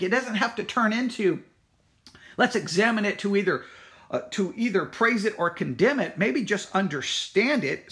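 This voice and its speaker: A man's voice: sits high, fairly bright tone. A man speaking informally as a church teacher, emphatic and insistent, often repeating his points. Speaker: Baptist church teacher and podcast host. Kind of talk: informal spoken announcement and church history teaching.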